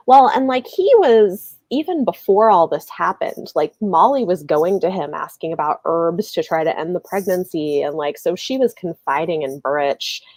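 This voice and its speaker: A woman chatting casually about books on a livestream, animated and informal, halting as she thinks aloud. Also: fairly low pitch, dry recording. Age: adult, married with children. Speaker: book discussion host. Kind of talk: casual book chat on a livestream.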